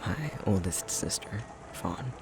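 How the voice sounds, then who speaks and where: A man playing an affectionate, self-deprecating young fox-shifter boyfriend in an intimate ASMR roleplay, speaking softly and hesitantly, often half-whispered. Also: fairly low pitch, expressive, slow, background noise. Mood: bashful, reminiscing.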